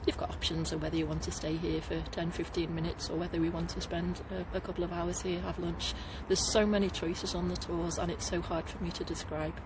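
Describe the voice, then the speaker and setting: A woman's voice: on the low side, little pitch movement, brisk, few pauses, noisy background. A middle-aged woman with a Liverpool accent, a tour guide chatting casually to the camera outdoors and laughing often. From Liverpool, England. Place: outdoors at a garden site.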